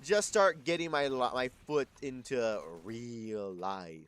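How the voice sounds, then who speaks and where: A man's voice: mid-range voice, slow, fairly bright tone, smooth. A man of twenty-two chatting casually on a live stream, rambling and correcting himself, swearing freely.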